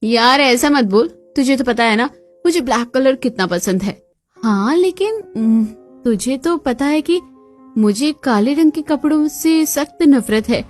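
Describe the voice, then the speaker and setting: A woman acting the dramatic, expressive daughter and chatty female relatives of a cartoon family story. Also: sits high, unhurried. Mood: playful, exasperated. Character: young unmarried daughter and her chatty women relatives, expressive. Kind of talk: Hindi cartoon story dialogue. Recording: music bed behind the voice.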